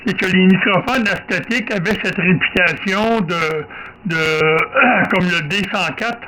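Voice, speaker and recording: A man's voice: sits high, unhurried, pushed and bright, poor audio. A man with a Québécois accent, chatting casually as an amateur radio operator and repeating short affirmations. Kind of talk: casual radio contact chat. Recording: faint radio hiss and ambience.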